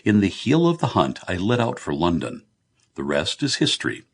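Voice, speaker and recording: Man acting out breezy, blunt middle-aged Irish businessman, husky and low. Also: very animated, normal speed, exaggerated voice. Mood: wry, reminiscing.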